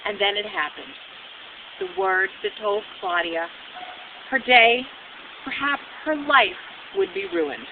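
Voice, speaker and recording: A woman reading her own children's book aloud as narrator, with animated delivery and dramatic emphasis. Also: takes it slowly, creaky. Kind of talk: expressive storybook narration. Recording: phone-like narrow band, loud hiss and rumble.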